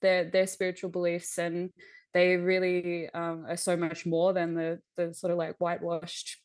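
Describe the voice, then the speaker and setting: A young adult woman chats as a podcast guest, thoughtful and unhurried in her reflections. Her voice sits a little low for a woman. The recording is dry, with no room sound. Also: monotone, no room sound. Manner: reflective, laughs lightly, many "um" and "like" fillers. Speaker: podcast guest, visionary artist. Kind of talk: casual conversation.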